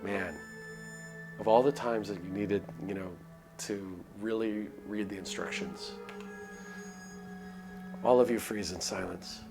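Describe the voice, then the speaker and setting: A man with a low voice narrates a visual novel for a stream. He reads the script dramatically and drops in casual asides, with music playing softly behind him. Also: fairly even intonation, slow, gravelly, pauses often.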